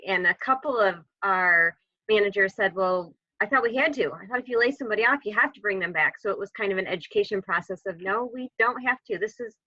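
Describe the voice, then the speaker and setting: A woman's voice: medium pitch, sharp tone, no echo. A woman speaking casually as a participant in a business conference call. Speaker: HR professional on the call. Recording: muffled, band-limited conference call audio.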